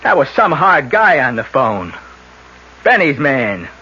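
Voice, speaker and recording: A man's voice: mid-range voice, big pitch swings, takes it slowly, pushed and bright, slightly rough, heavily acted. An actor playing a desperate, scheming young adult son in an old radio drama, both speaking in scenes and narrating his confession. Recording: muffled old radio, music behind.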